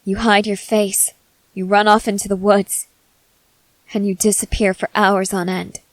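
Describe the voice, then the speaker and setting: A woman playing a stern, suspicious young female soldier in a dramatic ASMR roleplay, speaking in terse, deliberate phrases with pauses. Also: medium pitch, flat delivery, no echo, close to an everyday voice, rough recording. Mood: accusing. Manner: slow, deliberate, terse, intense delivery with pauses.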